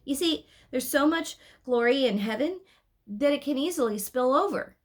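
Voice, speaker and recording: A woman's voice: high-pitched, lively intonation, normal speed, pitched above the performer's own voice. A woman reading the part of an earnest, dreamy young boy in a storybook. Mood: dreamy, insistent.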